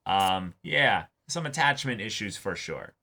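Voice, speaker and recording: A man's voice: on the low side, lively intonation, unhurried, gravelly, sharp tone. A man co-hosting a reaction show, commenting casually and emphatically, with frequent interjections.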